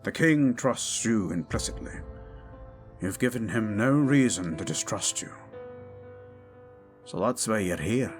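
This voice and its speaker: Man acting as a formal royal chancellor, low-pitched, deliberate, precise, cautious, fantasy drama. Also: lively intonation, faint music behind. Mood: measured. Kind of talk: fantasy audio roleplay drama.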